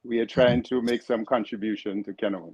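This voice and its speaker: A man's voice: lowish voice, fairly even intonation, a little mellow, breathy edge, no room sound. Retired man from Canouan, muffled line. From Canouan, Saint Vincent and the Grenadines. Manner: laughing, halting with fillers. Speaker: guest. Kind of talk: casual interview chat.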